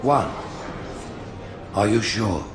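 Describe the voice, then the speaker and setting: An actor playing a stern, calm alien high prophet in a video game, with a pompous, ceremonial and deliberate way of talking. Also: male voice. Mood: calm judgement. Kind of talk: video game cutscene acting. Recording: loud music behind.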